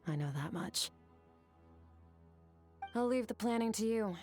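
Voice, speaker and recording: A woman playing a stoic, aloof warrior woman in a video game, with a cool, deadpan, measured delivery. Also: on the high side, soft background music. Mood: curt.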